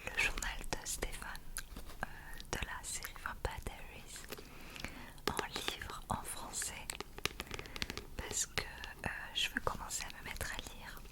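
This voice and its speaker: A woman speaking in a soft, breathy, unvoiced whisper in an intimate ASMR reading style. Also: slow pace, long pauses. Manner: soft, hushed, intimate delivery. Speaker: ASMR content creator reading a book aloud.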